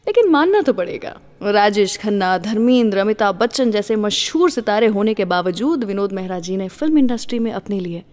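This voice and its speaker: A woman's voice: mid-range voice, a little mellow, long reverb tail. A woman with an Indian accent presenting a scripted radio show, mixing English words into her speech.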